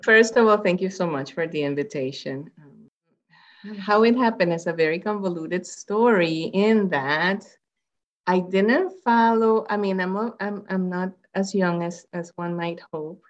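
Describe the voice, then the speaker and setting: A woman's voice: lowish voice, unhurried, highs cut off. A woman from Puerto Rico, a public health researcher, speaking in a conversational interview and rambling with many fillers and restarts.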